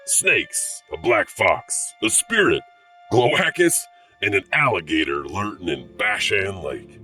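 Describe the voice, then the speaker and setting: Man acting as eerie horror narrator, lowish pitch, sharp tone, teasing, theatrical, music underneath. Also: big pitch swings, slow, heavily acted. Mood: sly, theatrical. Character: eerie, teasing male horror-tale host who chuckles. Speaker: narrator host. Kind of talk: theatrical spooky scripted narration.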